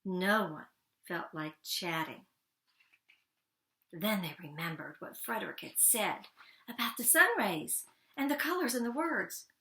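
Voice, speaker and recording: Slow, deliberate woman storyteller. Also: mid-range voice, expressive, sharp tone. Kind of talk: children's storybook narration.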